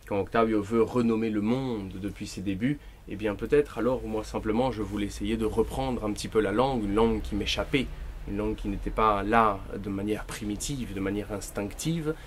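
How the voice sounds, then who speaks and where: A man whose first language is Spanish, an author talking at a bookshop event, thoughtful and deliberate, often restarting his words. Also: lowish voice, slight background noise. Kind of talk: bookshop talk, reading and answering.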